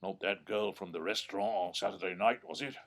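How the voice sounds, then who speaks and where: An actor playing a wry, wistful old English grandfather in an audio drama, rambling affectionately in a gravelly, husky voice. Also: low-pitched, steady tone, fairly quick. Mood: teasing, amused. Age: 70s or older. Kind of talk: naturalistic audio drama acting. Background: birdsong.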